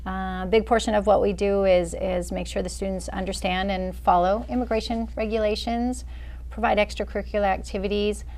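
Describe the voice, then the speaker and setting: A middle-aged woman from Idaho, an associate director, answering interview questions in a measured way with many fillers. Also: medium pitch, steady tone, a little mellow. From Boise, Idaho. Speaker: associate director of student services. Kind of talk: campus interview.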